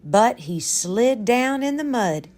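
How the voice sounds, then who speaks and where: A woman's voice: on the high side, expressive, slow pace, a little mellow. A woman voicing an eager, curious little girl in a children's storybook, with an insistent manner. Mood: excited.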